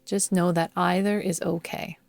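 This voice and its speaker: A woman guiding a yoga nidra meditation in a soothing, measured manner, leaving long pauses between phrases. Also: fairly low pitch, level tone, vocal fry, darkish tone, no room sound. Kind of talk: guided meditation narration. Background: background music.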